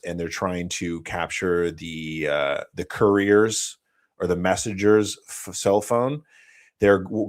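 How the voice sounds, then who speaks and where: A man from the United States, a former operator guest, telling stories slowly in a casual interview and often correcting himself. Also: deep voice. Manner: slow, explanatory storytelling with frequent self-corrections.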